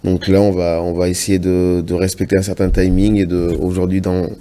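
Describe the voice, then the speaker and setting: Brief: French-raised man, low-pitched, halting and earnest studio guest. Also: monotone, mellow. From France. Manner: earnest, halting, restarts often. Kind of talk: casual TV interview answers. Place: TV studio set.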